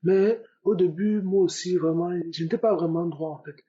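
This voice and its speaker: A man's voice: high voice, normal speed, dull audio. A young man, a student, telling his religious testimony with lots of repetition and emphatic retelling. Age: young adult. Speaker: testimony giver.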